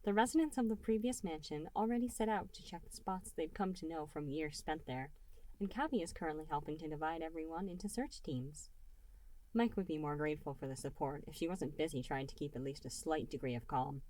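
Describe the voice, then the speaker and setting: A woman reading scripted fan fiction as an expressive narrator. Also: sits low, a little fast, rough recording. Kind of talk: expressive scripted story narration with character dialogue.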